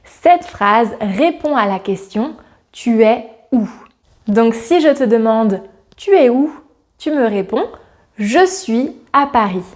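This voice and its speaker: A woman with a fairly high voice runs a scripted grammar lesson to camera. She speaks clearly and with friendly warmth, talking straight to the viewer as a teacher would. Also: expressive, takes it slowly. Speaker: French teacher.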